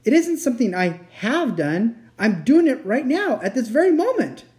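A woman's voice: on the high side, very animated, normal speed, warm tone, pitched above the performer's own voice. A woman voicing a sorrowful, guilt-ridden male fawn in a melodramatic, tearful storybook reading. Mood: anguished confession. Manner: tearful, self-reproaching, melodramatic.

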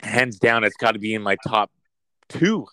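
A man's voice: fairly low pitch, lively intonation, normal speed, sharp tone, low-quality recording. A man co-hosting a casual podcast chat, joking around and slipping into a mock commercial-announcer voice. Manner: playful, slips into a mock ad-announcer voice. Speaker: podcast co-host.

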